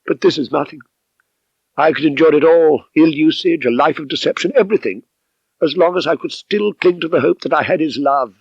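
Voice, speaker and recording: A man's voice: fairly high pitch, fairly quick, gravelly, husky, no echo, lo-fi sound. A man voicing an anguished, bitter woman, an abused wife, with impassioned melodrama in an audiobook story.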